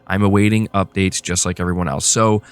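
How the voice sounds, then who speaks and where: A man with a low-pitched voice hosts gaming news. He moves between reading reports and offering casual commentary in a conversational way. Faint music plays behind his voice. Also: flat delivery, fairly quick, smooth. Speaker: host of a gaming news channel.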